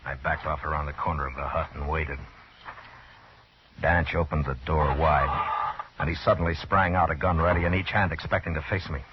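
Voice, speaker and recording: Old West marshal: very low voice, terse and commanding, radio western style, dull vintage sound. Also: male voice. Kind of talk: 1950s radio western acting and narration. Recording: muffled, noisy old radio recording.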